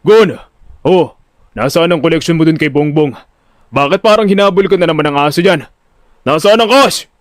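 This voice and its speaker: Man acting an angry, high-pitched money-hungry boss. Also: normal speed, long pauses, no echo, clean studio sound, natural voice. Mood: brusque, demanding. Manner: scolding, demanding. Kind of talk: Filipino audio drama acting.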